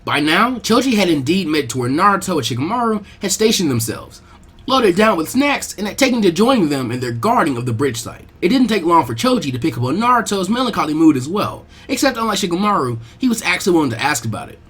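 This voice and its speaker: A man reading fan fiction aloud as narrator, in an animated storytelling style. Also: sits high, quick pace, breathy edge.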